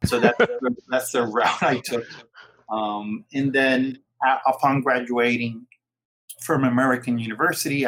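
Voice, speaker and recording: A man in his forties from Puerto Rico, speaking as an interview guest. His voice sits at a middle pitch, and he tells his story in a relaxed, conversational way. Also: rough recording. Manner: relaxed storytelling, occasional laughs. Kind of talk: casual interview.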